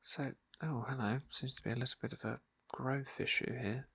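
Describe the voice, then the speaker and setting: A man speaking in a soft, breathy hush, often near a whisper, narrating an ASMR roleplay game playthrough. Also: mid-range voice, steady tone, moderate pace, no room sound. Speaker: ASMR gaming video host and roleplayer. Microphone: close microphone, dry.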